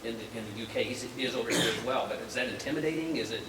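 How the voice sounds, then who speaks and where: A man's voice: medium pitch, racing. A man asking questions casually at a live panel, phrasing them in an informal, loose way. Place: convention panel room. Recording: echoey room, distant mic, background noise.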